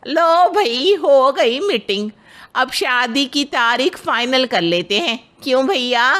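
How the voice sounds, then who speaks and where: A pushy, cheerful family matchmaker, played by a woman, an aunt from a moral tale. Her voice is bright and forceful, pitched fairly high, and takes its time. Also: animated, slow pace, full character voice. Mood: brisk, coaxing. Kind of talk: dramatized Hindi moral story.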